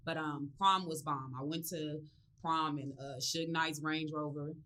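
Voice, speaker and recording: Low-voiced woman, rambling and relaxed. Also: unhurried, recorded from a distance. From California. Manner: rambling, many ums and likes, relaxed and open. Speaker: interview guest, business owner. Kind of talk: casual interview chat. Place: studio.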